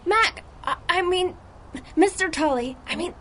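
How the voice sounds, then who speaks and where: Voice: extremely high pitch, a little slow, pushed and bright, a little hiss or hum, full character voice. An actor playing an eager, naive young boy agent, earnest and flustered, in a pulp radio drama. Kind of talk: 1930s pulp radio acting.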